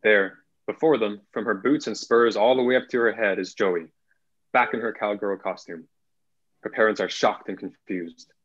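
A young man reading screenplay stage directions in a plain, measured way as narrator. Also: on the low side, fairly bright tone. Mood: measured, neutral. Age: young adult. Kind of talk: scripted narration, table read. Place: small room at home, on video call. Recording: dull video call audio.

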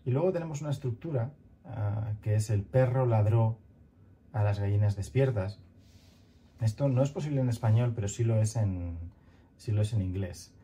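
Muffled, halting Spanish-speaking lecturer, deep and dark. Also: male voice, slightly rough. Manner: thoughtful, halting, many fillers and restarts. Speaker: grammar teacher. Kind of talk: recorded online lecture with slides. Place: small room at home, bookshelf behind.

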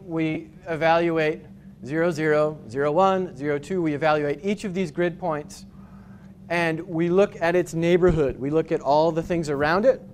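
A man from New England giving a conference talk with slides, explaining step by step with frequent pauses and restarts. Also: on the high side, slight background noise. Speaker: conference speaker. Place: hotel conference room with projector screen.

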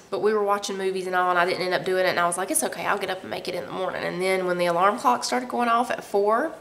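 A woman's voice: medium pitch, a bit bright. A woman, chatting casually to camera in her living room, rambling and laughing as she goes. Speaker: family vlogger. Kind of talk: casual vlog chat.

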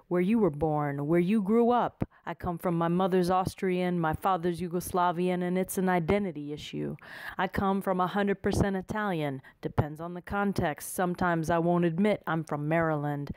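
A woman's voice: on the low side, a little mellow, smooth, no room sound. A woman speaking in short, brief declarative lines. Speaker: workshop participant. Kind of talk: short spoken statements.

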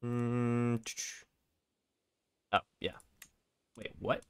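A young man with a raspy voice from being sick, casually commenting on a strategy game, thinking aloud and clearing his throat often. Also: medium pitch, flat delivery, extremely slow. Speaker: gameplay commentator. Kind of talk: casual let's play commentary.

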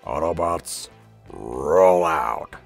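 A giant robot war leader, extremely deep and very slow. He speaks with stern, menacing command through a bass-boosted, pitched-down effect, like a film hero ready for battle. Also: animated, soft background music, heavily acted. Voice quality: deep, gravelly. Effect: pitched-down, bass-boosted processing.

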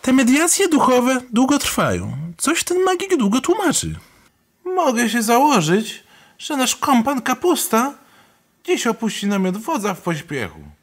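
An actor playing a nosy, gossiping young warrior in a comic-book audio drama. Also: male voice, very high voice, wildly swooping pitch, unhurried, full character voice. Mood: mocking. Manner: gossipy, mocking.

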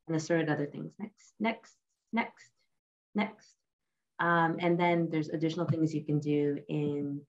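Woman from uganda, lowish voice, casual slide talk, dull lo-fi call audio. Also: a little mellow. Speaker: presenter.

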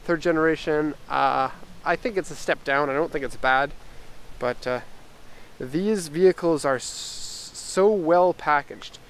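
Gravelly man doing a casual car walkaround. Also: on the high side, a little slow, fairly bright tone, rough recording. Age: middle-aged. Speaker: car exporter presenting a vehicle. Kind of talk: casual unscripted walkaround review. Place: outdoors by a parked car and inside it. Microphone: handheld camera microphone. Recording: outdoor handheld camera, clear ambient noise.

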